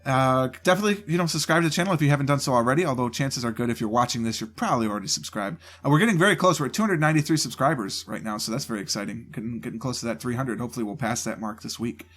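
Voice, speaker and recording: A man's voice: mid-range voice, very fast, hardly any pauses, a bit bright. A man with a cold and a stuffed-up voice, rambling casually through a channel update from his home desk mic. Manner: rambling, loose, self-interrupting. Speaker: gaming channel host. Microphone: home desk mic, voice only.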